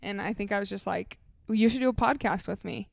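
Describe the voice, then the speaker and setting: A woman's voice: medium pitch, fairly quick, creaky, dry recording, studio quality. A woman co-hosting a casual podcast, chatting in a bubbly, eager way as she tells stories. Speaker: podcast co-host. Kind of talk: casual chat.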